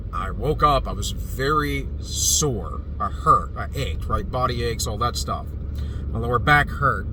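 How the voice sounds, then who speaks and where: A man recovering from a cold who talks in a rambling, dismissive way, with many fillers and restarts. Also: medium pitch. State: recovering from a cold, slightly stuffy. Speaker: vlogger. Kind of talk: casual opinion monologue. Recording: distant mic with street noise.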